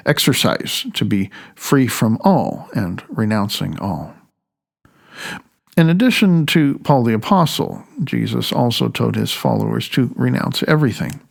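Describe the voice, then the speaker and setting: A man reading his own audiobook as its author, in a deliberate, emphatic way, phrase by phrase. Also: medium pitch, creaky. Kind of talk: audiobook narration with recited affirmations and asides.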